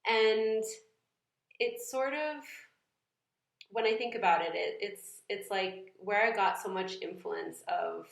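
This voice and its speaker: A young woman from New England talking thoughtfully in a casual vlog, pausing and restarting often. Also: medium pitch, gravelly. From New England, United States. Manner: thoughtful, hesitant, many fillers and restarts. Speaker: vlogger. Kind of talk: casual reflective vlog talk. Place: home room. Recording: echoey room.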